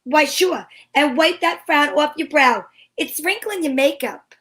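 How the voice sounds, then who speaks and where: A woman's voice: sits high, measured pace, a bit bright. A woman playing a breezy, wisecracking female private eye in 1940s radio acting. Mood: breezy, teasing.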